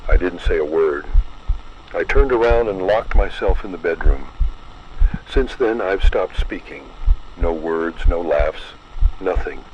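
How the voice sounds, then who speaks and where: A man narrating a scripted horror story in a low, measured, ominous way. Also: animated, lo-fi sound, slight background noise.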